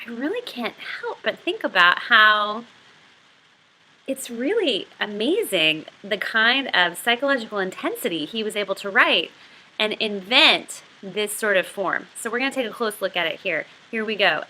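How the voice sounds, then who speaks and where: A woman's voice: mid-range voice, lively intonation, normal speed, bright, forceful tone, low-quality recording. A woman giving an explanatory literature lesson as a video host, conversational and enthusiastic. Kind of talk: explanatory lesson with novel read-aloud.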